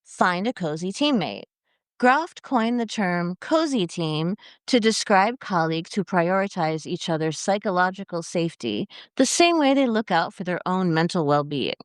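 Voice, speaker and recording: Woman narrator, lowish lively voice, dry close-mic read of a feature article. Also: no echo. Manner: measured, expressive read-aloud delivery. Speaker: editor reading her own article.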